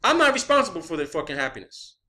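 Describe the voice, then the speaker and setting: High-pitched, bright-voiced man ranting. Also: very animated, quick pace. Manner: profane, blunt, emphatic. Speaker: online video creator. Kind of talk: unscripted monologue rant.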